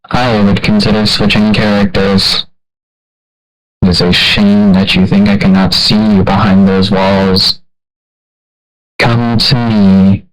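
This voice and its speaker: A man voicing a cold, all-seeing video game villain who speaks in a measured, formal, condescending and menacing manner. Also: low voice, poor audio. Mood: disdainful, condescending. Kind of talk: video game character voicelines.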